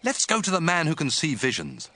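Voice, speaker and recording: An anxious young man of humble temper, acted out in a Bible audio drama, his voice fairly high and bright. He is earnest and questioning, with lively pitch. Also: brisk, exaggerated voice. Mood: agreeable.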